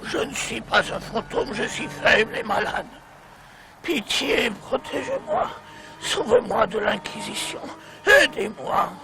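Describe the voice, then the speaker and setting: An actor playing a frail, sick Moorish fugitive in a cartoon, pleading in a weak, failing voice. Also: male voice, very high-pitched, sing-song, slow pace, full character voice, poor audio. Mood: desperate, pleading. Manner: pleading, breaks into whispers. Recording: music behind the voice.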